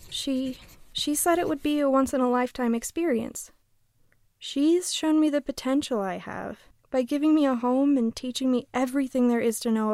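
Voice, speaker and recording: A woman's voice: a little high, creaky, darkish tone, no room sound, clean studio sound. A woman playing a nervous young mechanic who stammers. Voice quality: hesitant, stammering.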